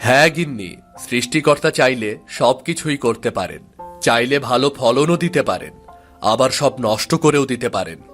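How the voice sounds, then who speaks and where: A man's voice: medium pitch, lively intonation, normal speed, a bit bright, faint music behind, close to an everyday voice. A man playing a poor village farmer and father in a cartoon moral tale, weary, devout and resigned. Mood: hopeful, cautious. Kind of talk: animated moral-tale dialogue.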